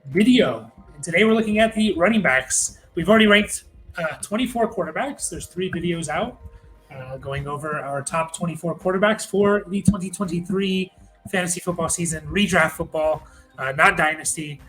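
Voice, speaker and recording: A man's voice: high voice, normal speed, a bit bright, soft background music, poor audio. A man hosting a fantasy football show in a casual, conversational sports rankings style.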